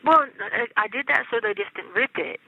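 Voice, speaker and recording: A woman's voice: fairly high pitch, moderate pace, vocal fry, husky. A middle-aged American woman chatting casually on a phone call, colloquial and insistent, often checking that she is understood. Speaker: inmate on a jail phone call. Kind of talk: casual personal phone conversation. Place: recorded jail phone call. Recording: tinny, narrow telephone line.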